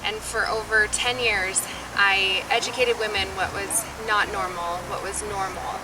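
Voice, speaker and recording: A woman's voice: medium pitch, steady tone, unhurried. A woman in her thirties telling her infertility story in an earnest, sincere way. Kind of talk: heartfelt personal storytelling. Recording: roomy, distant, noisy.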